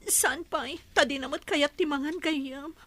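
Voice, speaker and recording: A woman performs a long-suffering young mother in a radio drama. The voice is higher than most and very bright. She sounds anxious, earnest and plaintive. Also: measured pace, no echo. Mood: pleading.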